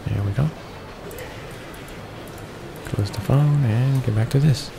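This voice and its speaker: A man reading visual novel text aloud for a gaming video, halting now and then with stumbles and repeated words. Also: medium pitch, creaky, very noisy. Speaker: let's play host reading along. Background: game music.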